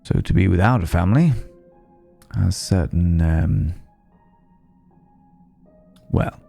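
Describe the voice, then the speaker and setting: Man acting as a very dark-voiced, suave vampire. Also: low-pitched, creaky, soft background music, heavily acted. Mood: wry, confiding. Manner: genteel, unhurried, savouring pauses and words. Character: suave ancient male vampire club owner, refined and quietly menacing. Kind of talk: ASMR roleplay, intimate second-person acting.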